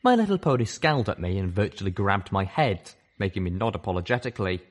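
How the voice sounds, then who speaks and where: A man reading a story aloud as first-person narration, expressive and dramatic. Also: sits low, fast talker. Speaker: audiobook reader.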